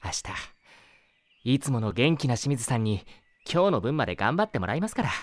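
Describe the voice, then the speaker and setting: A man playing a gentle, polite, concerned schoolteacher in an anime audio drama, speaking earnestly and reassuringly. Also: mid-range voice, sing-song, fairly quick, dry recording, close to an everyday voice.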